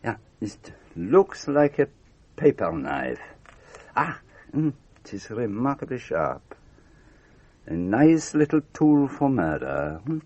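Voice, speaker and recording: A man acting in a radio drama as a shrewd Belgian detective with a French-speaking accent, deliberate and courteous, given to musing hums. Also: medium pitch, sing-song, darkish tone, no echo, dull audio. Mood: musing, probing.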